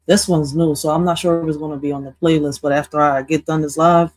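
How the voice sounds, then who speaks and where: A woman, hosting a casual livestream chat in a laid-back, halting way, laughing easily. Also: fairly even intonation, no echo. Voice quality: deep voice. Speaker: livestream host.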